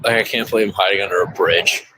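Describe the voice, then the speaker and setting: A man from the USA livestreaming from his phone under a highway bridge, reading out viewer joins and gifts in a running list. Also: quick pace, bright, forceful tone, husky, no room sound, rough recording. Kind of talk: live stream chat reading.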